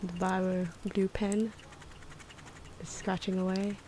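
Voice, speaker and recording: Soft-spoken ASMR woman, often whispering, noisy background. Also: medium pitch, flat delivery, takes it slowly. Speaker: host of an ASMR drawing channel. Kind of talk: ASMR, casual voice-over commentary on speed drawing. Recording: clear background noise from drawing sounds. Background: pen and marker scratching.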